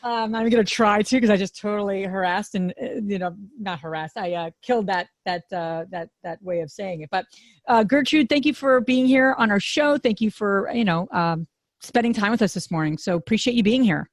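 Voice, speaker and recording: A woman's voice: mid-range voice, a little fast, no room sound. A woman hosting a podcast, talking in a loose, rambling way with lots of fillers and restarts. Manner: warm rambling delivery with many fillers. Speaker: podcast host.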